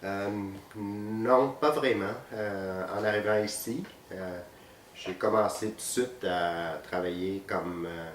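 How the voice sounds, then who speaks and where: Slow, deep-voiced man, hesitant, distant mic. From Vietnam. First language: Vietnamese. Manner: hesitant, many pauses with "euh". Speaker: interviewee. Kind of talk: interview answers. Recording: roomy, distant, faint noise.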